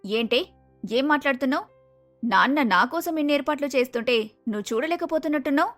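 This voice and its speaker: Lady doing a stuck-up spoiled grown daughter for a cartoon, high and bossy, bit of music under it. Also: lively intonation, fast talker, fairly bright tone. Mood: spiteful, whining. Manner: haughty, bossy, accusing. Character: arrogant, spoiled young adult daughter, harsh and jealous. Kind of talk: acted cartoon moral story.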